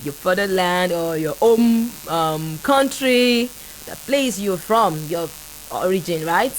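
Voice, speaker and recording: A woman with a Nigerian accent hosting a call-in radio French lesson, laughing often and slowly repeating phrases for listeners to copy. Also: on the low side, lively intonation, slight background noise, rough recording. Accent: Nigerian English. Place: radio studio.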